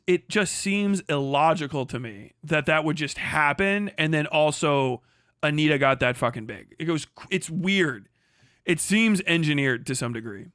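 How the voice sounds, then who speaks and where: A man's voice: on the high side, a bit bright, dry recording, studio quality. A young man hosting a livestream, reading chat aloud and rambling in casual, profane commentary with frequent restarts. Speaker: livestream host.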